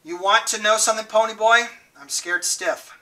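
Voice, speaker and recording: A man reading aloud and voicing a gentle, scared sixteen-year-old boy who is badly hurt and speaks weakly, haltingly. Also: high-pitched, steady tone, recorded from a distance, voice pushed up, rough recording. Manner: weak, quiet and halting.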